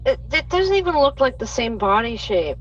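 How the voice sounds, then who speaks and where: A woman's voice: high-pitched, big pitch swings, moderate pace, a bit bright, far from the mic, slight background noise. A woman in a live audio chat, doubtful and searching for words with many fillers. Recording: dull audio from off mic.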